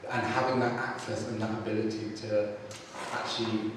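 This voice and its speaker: A man's voice: lowish voice, flat delivery, normal speed. A man in his twenties from North London, a photography trainee speaking hesitantly and restarting his phrases. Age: 20s. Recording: echoey hall, distant mic, noisy.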